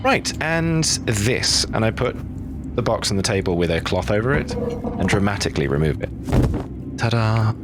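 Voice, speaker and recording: Eager man with a lively pitch. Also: noisy background. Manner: eager and a bit scattered, quick stumbling restarts. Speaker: player. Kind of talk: casual roleplay banter.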